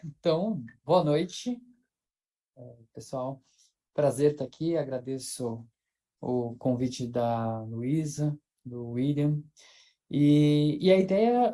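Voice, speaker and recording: A man's voice: a little high, dark tone. A man, an invited researcher giving a loose academic talk over a video call, thinking aloud with lots of fillers and restarts. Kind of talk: informal academic talk in a live webinar.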